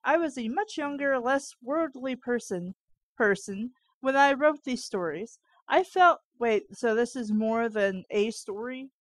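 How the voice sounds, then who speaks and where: A woman's voice: a little high, expressive, measured pace, no room sound. A woman co-hosting a fan fiction read-aloud, breaking off into baffled, informal asides. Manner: incredulous, informal, interjecting asides.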